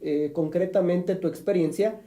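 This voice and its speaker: Mexican man, priest hosting a live show, mellow dark voice, echoey room, chatty and preachy. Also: high voice, steady tone, normal speed. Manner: chatty, preachy, joking asides. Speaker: priest and program host. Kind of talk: live-streamed religious talk show.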